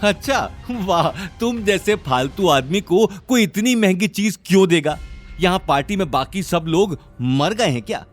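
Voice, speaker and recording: A man's voice: sits high, animated, unhurried, faint music behind, pitched above the performer's own voice. A man playing a smug, mocking young relative in an audio drama. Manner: mocking, taunting.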